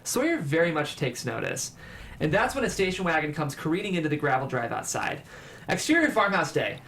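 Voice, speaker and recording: Narrator for screenplay table read, scripted stage directions, middle pitch, some background noise. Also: sing-song, brisk, fairly bright tone.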